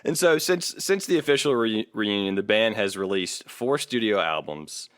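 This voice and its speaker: A man's voice: on the low side, animated, moderate pace, dry recording, clean studio sound. A man hosting a casual music podcast, with a wry, sardonic manner. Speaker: podcast host.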